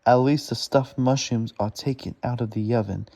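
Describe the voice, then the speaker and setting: A man reads a children's storybook aloud haltingly, stumbling over words and correcting himself as he goes. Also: mid-range voice, gravelly, warm tone. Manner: stumbling, laboured reading with self-corrections.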